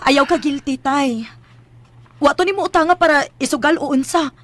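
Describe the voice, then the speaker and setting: An actress playing a feisty, self-sacrificing young daughter in a Filipino radio drama, resolute and earnest. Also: female voice, high voice, normal speed, a bit bright, no echo. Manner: resolute, earnest, a little sassy. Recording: music bed behind.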